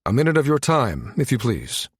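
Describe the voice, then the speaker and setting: A man's voice: mid-range voice, wildly swooping pitch, moderate pace, dry recording, studio quality, full character voice. A man voicing a composed male colonel, polite yet firm, in a science fiction audiobook. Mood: polite, puzzled. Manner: smooth, polite but authoritative.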